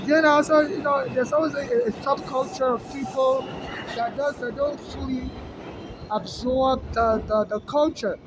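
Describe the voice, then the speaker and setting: A woman rambling through a long personal monologue, halting and restarting often. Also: sits high, fairly even intonation, background noise.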